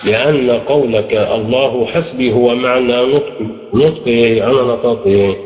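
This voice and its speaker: A man's voice: mid-range voice, moderate pace. A man with an Egyptian accent teaching a grammar class, explaining step by step and quizzing his students with prompting questions. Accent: Egyptian Arabic. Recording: dull lo-fi recording, echoey hall.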